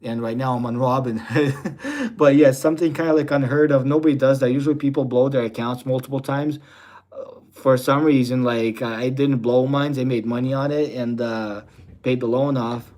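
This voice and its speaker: A man's voice: medium pitch, fairly even intonation, normal speed, darkish tone. Rambling young man with lots of fillers. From Russia. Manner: rambling, heavy fillers like "you know" and "type deal". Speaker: livestream host. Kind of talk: casual livestream chat reading viewer questions.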